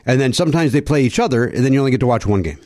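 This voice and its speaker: A podcast host chatting in a studio, his voice a touch gravelly after being sick. He talks with a lively, swooping pitch and needles his co-host with playful teasing. The sound is close and dry. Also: racing, no echo. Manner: teasing, bantering, animated. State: slightly gravelly voice after illness. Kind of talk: casual comedy podcast chat.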